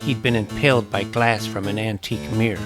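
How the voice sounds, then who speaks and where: A man's voice: lowish voice, steady tone, brisk, natural voice. An actor narrating an audio drama in the first person as a plucky, self-assured boy detective recounting his adventures. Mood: relieved, wry. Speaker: narrator and lead character. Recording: loud dramatic music under narration.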